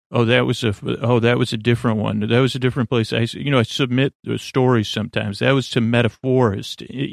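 A man hosting a podcast with a rambling bedtime monologue, halting and meandering, often trailing off mid-word. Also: mid-range voice, vocal fry, dry recording, clean studio sound. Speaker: podcast host.

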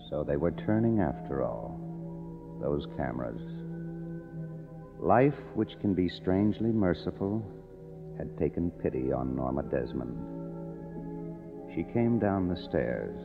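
Very deep brooding American radio narrator. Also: male voice, sing-song, gravelly, full character voice. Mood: wry, somber. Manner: brooding, measured, wry. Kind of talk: 1950s radio drama narration. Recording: muffled vintage radio recording, music underneath.

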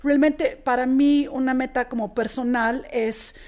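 A woman in her forties who grew up in Australia talks as an interview guest in a language she learned later. She speaks earnestly, with frequent fillers and restarts, and her voice sits a little high. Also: steady tone, a little slow, a little mellow. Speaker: guest, impact investor. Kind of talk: interview conversation.

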